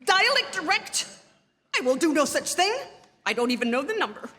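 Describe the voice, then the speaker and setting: High, bright female voice as a panicked, imperious wife, radio thriller, reverberant noisy stage. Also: sing-song, moderate pace, exaggerated voice. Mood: indignant, imperious. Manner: imperious, breathless exclamations, frantic repetition. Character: nervous, demanding married woman, panicked and imperious. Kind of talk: theatrical radio suspense acting. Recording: live theatre stage, long reverb, noisy.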